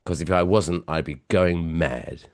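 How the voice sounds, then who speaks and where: A man with a British accent, softened by years in America, telling stories as a podcast co-host while stuffy with a cold. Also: deep voice, measured pace, dry recording, clean studio sound. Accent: British English softened by years in the US. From England. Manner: chatty, self-mocking storyteller. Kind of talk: casual podcast chat.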